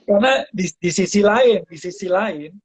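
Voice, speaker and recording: A man hosting a casual interview, restarting phrases and mixing in some English words. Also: high voice. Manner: halting, repeats phrase starts, mixes in English words. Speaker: host interviewing a guest about breathing practice.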